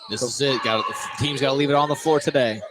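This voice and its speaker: A man's voice: mid-range voice, steady tone, fast talker. A man giving short, conversational reactions and analysis as color commentator on a live basketball broadcast. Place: indoor basketball gym. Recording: noisy live gym ambience.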